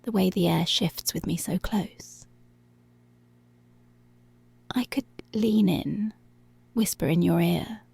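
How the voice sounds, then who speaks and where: A woman playing a dominant, teasing, seductive policewoman in an intimate ASMR roleplay, with a breathy, whispery voice and slow, deliberate, commanding delivery. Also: lowish voice, a little mellow, dry recording, exaggerated voice. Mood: teasing, controlling. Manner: slow, deliberate, controlling, drawn-out phrases.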